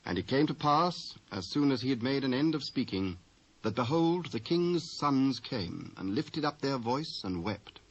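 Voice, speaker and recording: Male narrator, British, husky mid-pitched voice, dramatic scripture reading, dull old cassette sound. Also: expressive, measured pace.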